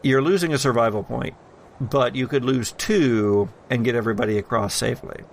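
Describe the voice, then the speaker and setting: A man acting as game master, deliberately narrating scenes and explaining rules for a tabletop roleplaying game. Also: mid-range voice, vocal fry, a little hiss or hum.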